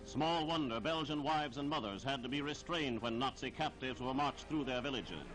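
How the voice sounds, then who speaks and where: A man's voice: medium pitch, rough recording. 1940s newsreel man over music. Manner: formal, declamatory, measured delivery. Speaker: newsreel narrator. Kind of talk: 1940s newsreel scripted narration.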